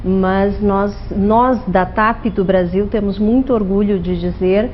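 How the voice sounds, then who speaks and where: A woman's voice: mid-range voice, fairly even intonation, slow pace, a little hiss or hum. A woman born in Portugal, working as a regional airline manager, speaking fluently and in a businesslike way as a TV interview guest. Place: TV studio. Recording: dull TV audio.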